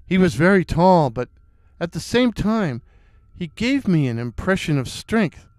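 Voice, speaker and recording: A man's voice: high voice, a little mellow, dry recording, clean studio sound. A man narrating a first-person horror story in a suspenseful way, repeating words for effect.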